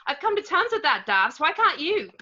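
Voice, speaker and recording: A woman's voice: high-pitched, very animated, normal speed, sharp tone, full character voice. A woman reading a hurt, frank, long-suffering girlfriend in an amateur film script table read, with dull lo-fi sound.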